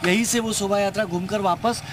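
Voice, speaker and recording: A man's voice: high voice, steady tone, a little fast, background noise. A man reporting live for television news from a street outdoors. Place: outdoor street outside a temple.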